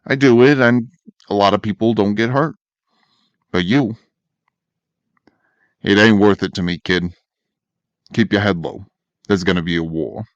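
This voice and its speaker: A man's voice: lowish voice, no echo, lo-fi sound, close to an everyday voice. A man voicing a blunt, streetwise gangster who talks in tough slang, in a fan comic dub. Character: streetwise male gangster, torn but decent.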